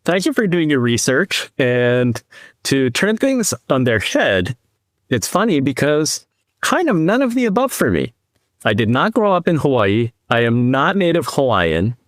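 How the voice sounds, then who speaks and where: A man in his fifties from the US East Coast, a podcast guest and financial advisor, telling his story in a measured, reflective way. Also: medium pitch, big pitch swings, no echo, studio quality. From New Jersey, US East Coast. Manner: measured, reflective, speaks in long explanatory runs. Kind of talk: conversational interview storytelling.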